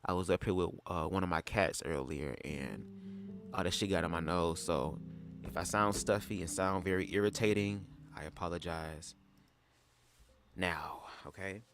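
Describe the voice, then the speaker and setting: Deep-voiced man with a stuffy nose. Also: moderate pace, fairly bright tone, faint music behind. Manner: chatty, slangy, addresses viewers directly. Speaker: livestream host. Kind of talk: casual livestream commentary.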